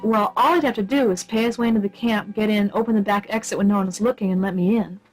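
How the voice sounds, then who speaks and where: A woman plays a plucky secret agent bargaining in a comic radio play. Her pitch is medium and music plays behind her. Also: fairly even intonation, fast, darkish tone, natural voice, low-quality recording. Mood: earnest, pleading.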